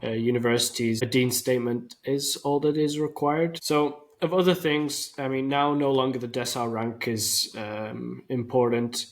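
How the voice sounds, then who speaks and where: A man's voice: medium pitch, fairly even intonation, normal speed, hardly any pauses. A man hosting an interview, asking structured questions and adding practical information. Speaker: interviewer, host.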